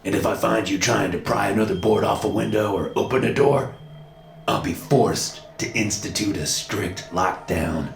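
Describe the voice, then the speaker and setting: A man's voice: lowish voice, normal speed, gravelly, roomy sound, a little hiss or hum, voice pushed up. A man voicing a frightened, tearful middle-aged father, raw and strained, in an audiobook. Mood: stern.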